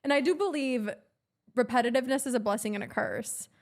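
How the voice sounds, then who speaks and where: A woman hosting a podcast, enthusiastic and quick to laugh, asking her guest questions in a casual chat. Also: high-pitched. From Midwest, Chicago area. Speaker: podcast host. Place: Los Angeles.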